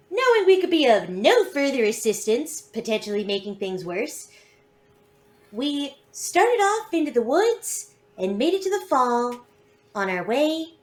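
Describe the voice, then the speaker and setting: A woman's voice: on the high side, big pitch swings, measured pace. A woman player recapping the last session of a tabletop game, casual and hesitant.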